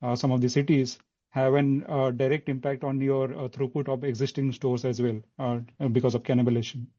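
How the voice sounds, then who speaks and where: A man with an Indian accent puts questions as an analyst on an earnings call. His phrasing is halting and his tone dark and mellow. The line is dry and lo-fi. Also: mid-range voice, flat delivery, dry recording. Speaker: financial analyst. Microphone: conference call line. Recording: dull lo-fi phone line.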